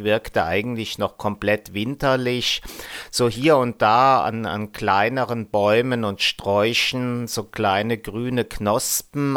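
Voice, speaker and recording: Low-voiced man rambling on community radio. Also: fairly bright tone. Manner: rambling, musing, tags sentences with "nicht?". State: slightly under the weather. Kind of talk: casual solo radio chat. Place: home in a city, remote. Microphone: Skype call.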